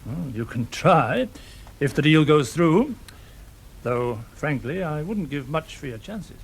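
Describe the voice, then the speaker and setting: An actor playing an ailing, weary but firm middle-aged British factory owner in a radio drama, speaking formally with some hesitation. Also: male voice, on the high side, big pitch swings, takes it slowly, slightly rough, full character voice. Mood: firm, regretful.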